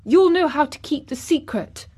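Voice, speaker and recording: A woman's voice: sits high, expressive, moderate pace, darkish tone, pitched above the performer's own voice. A woman voicing a lordly young boy who gives orders in a decisive, condescending manner, as in a storybook. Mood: pleased, lordly. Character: imperious young boy, lordly, commanding, eager.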